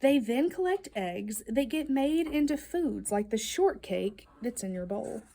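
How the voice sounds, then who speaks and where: Lady doing a kids storybook read-aloud, highish voice, really animated and expressive. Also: unhurried, creaky. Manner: expressive, emphatic storytelling delivery with playful questions. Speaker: storybook reader. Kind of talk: children's read-aloud of a picture book.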